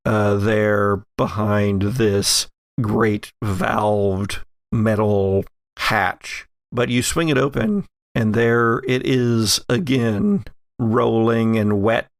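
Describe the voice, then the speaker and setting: A man's voice: fairly low pitch, fairly even intonation, very slow, creaky, no room sound. A man acting as game master, improvising narration for a tabletop horror game.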